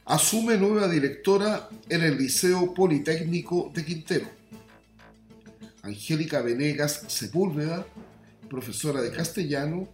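Higher-voiced Chilean man presenting news, roomy sound. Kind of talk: scripted radio newscast. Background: music bed.

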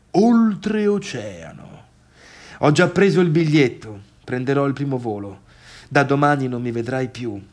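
Guy acting bitter unsteady failed man, stagey radio drama monologue, halting, says things over and over. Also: mid-range voice. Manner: repetitive, halting, swinging from barked orders to murmurs. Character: bitter, unsteady failed man dismissing his late guests.

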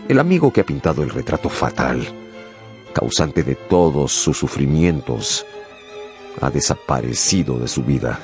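A man narrating a radio drama in an intense, theatrical way with deliberate pauses. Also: very deep voice, sing-song, a little mellow, faint music behind.

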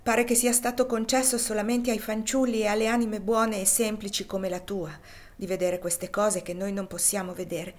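A grieving plantation master, torn by doubt, pleads and questions in an anguished voice, as a woman reader gives him lines. The voice sits at an ordinary middle pitch and stays simple rather than theatrical. It is an audiobook reading. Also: little pitch movement, moderate pace, close to an everyday voice. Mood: despairing.

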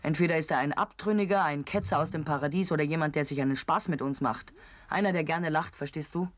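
A woman's voice: sits low, quick pace, no room sound, close to an everyday voice. An actress playing a stern, sceptical, devout woman in a radio drama. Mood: sceptical, intense.

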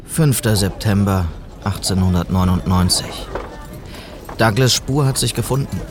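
An actor playing a dutiful, earnest police sergeant in a German radio western, speaking in clipped, terse phrases. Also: male voice, on the low side, a little slow, slight background noise, lightly acted. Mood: sober, determined. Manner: clipped, terse log-entry phrasing, sober and determined. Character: dutiful, earnest male police sergeant in 1890s frontier America.

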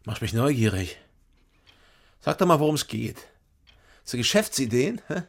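Radio drama: sly, coaxing barfly, creaky voice, animated intonation, unhurried. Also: male voice, mid-range voice, heavily acted. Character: coaxing, curious male drinking companion. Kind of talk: radio crime drama acting.

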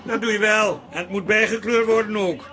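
An actor playing a sardonic, exasperated husband who grumbles and teases in a Dutch radio comedy. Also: male voice, high voice, fairly even intonation, fairly quick, pushed and bright. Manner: dry, mocking, impatient.

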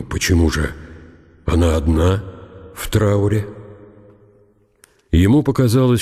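A man's voice: low-pitched, mellow. A man narrating a historical radio drama in a dramatised literary style.

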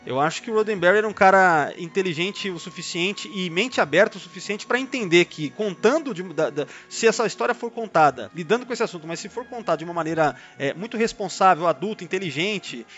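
A man's voice: high-pitched, lively intonation, fast talker, few pauses, a bit bright. A Brazilian man co-hosting a podcast in a casual fan discussion, often checking for agreement as he talks. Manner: conversational, frequently seeking agreement with tag questions. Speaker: podcast co-host. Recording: dull lo-fi audio, music underneath. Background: background music.

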